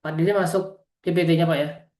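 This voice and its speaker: A man's voice: a little high, steady tone, normal speed, darkish tone, reverberant. A man from East Java giving a motivational business presentation on a video call, earnest and constantly addressing his audience directly. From East Java, Indonesia. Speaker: business presenter at an online meeting. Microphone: video call microphone.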